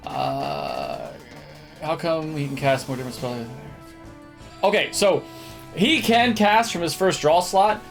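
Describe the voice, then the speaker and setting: A man's voice: fairly high pitch, very animated, takes it slowly, gravelly. A man giving casual video game commentary, thinking aloud and now and then humming little nonsense tunes. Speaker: video game streamer and speedrunner. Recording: game music behind the voice. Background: video game music.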